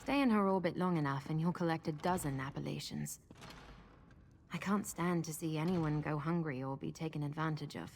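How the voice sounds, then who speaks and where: An actress playing a kind masked immortal woman with lost memories, speaking in a gentle, reflective way. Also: deep voice, brisk, vocal fry, slight background noise.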